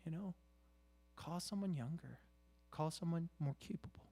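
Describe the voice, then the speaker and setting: A man preaching in a conversational style, halting and repeating himself, with rhetorical questions and emphatic phrases that drop into hushed asides. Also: on the high side. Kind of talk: conversational sermon.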